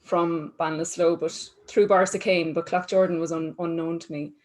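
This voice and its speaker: A woman's voice: on the low side, fairly even intonation, normal speed, darkish tone. A woman from East Galway with an Irish accent, a cheerful guest who laughs easily. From East Galway, Ireland. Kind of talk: casual interview answers.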